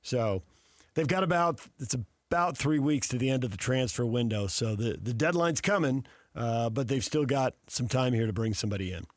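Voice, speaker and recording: Man, American accent, sports radio host, mid-pitched, emphatic explanatory delivery, conversational analysis, dry close-mic sound. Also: animated, moderate pace, no room sound. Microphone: close studio microphone.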